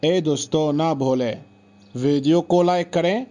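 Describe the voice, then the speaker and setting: A man's voice: a little high, warm tone. A man narrating a scripted moral story as a storyteller.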